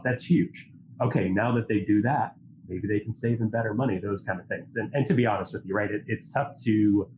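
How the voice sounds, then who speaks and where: A man talking as a guest in a casual chat, stuffy from a cold, earnest and rambling with many fillers. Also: lowish voice. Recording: dull lo-fi audio with faint hiss.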